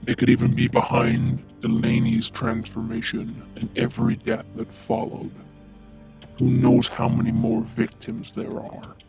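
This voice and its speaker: Brooding masked vigilante blogger, heavy fry, dramatic swings in pitch, ominous delivery over prominent music. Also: male voice, big pitch swings, unhurried, a little mellow, exaggerated voice. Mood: conspiratorial, intense. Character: grim anonymous masked male conspiracy blogger, brooding. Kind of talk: dramatic monologue in an audio drama. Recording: music behind the voice.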